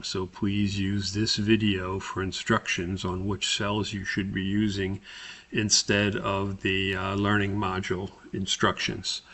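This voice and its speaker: A man narrating a step-by-step software tutorial as an instructor, explaining things in a deliberate, somewhat hesitant way. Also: lowish voice.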